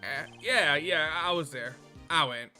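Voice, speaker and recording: Man acting young male game defendant, laid-back, evasive, slangy, defensive, bright tone, music behind. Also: a little high, unhurried.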